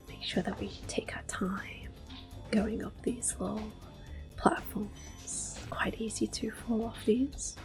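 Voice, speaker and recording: A soft-spoken woman giving gentle ASMR gameplay commentary, often slipping into a whisper close to the microphone. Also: medium pitch. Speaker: host of a gameplay series. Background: soft game music.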